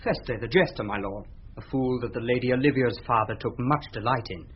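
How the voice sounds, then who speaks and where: An actor playing a deferential British courtier in 1970s radio Shakespeare. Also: male voice, mid-range voice, fairly quick, breathy edge, close to an everyday voice. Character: deferential courtier attending his lord. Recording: dull, noisy old radio recording.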